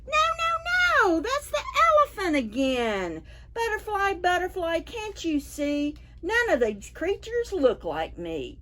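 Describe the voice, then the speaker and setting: Woman as a high, indignant little monkey child. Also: huge pitch swings, takes it slowly, sharp tone, full character voice. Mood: exasperated. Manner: indignant, emphatic protests. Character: anxious little monkey child looking for mom. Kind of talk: preschool picture-book read-aloud.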